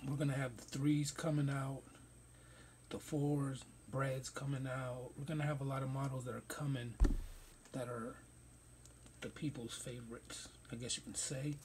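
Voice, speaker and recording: A man's voice: medium pitch, a little mellow, slight background noise. Man rambling about sneakers, slangy. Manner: loose, rambling slang-heavy talk. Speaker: sneaker reviewer. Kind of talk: casual unboxing vlog. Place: cluttered room stacked with shoe boxes.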